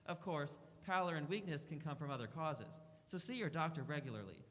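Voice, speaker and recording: Higher-voiced man announcing a vintage radio ad. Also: expressive, a little fast, fairly bright tone. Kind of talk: 1940s radio advertisement read. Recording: hollow, reverberant room.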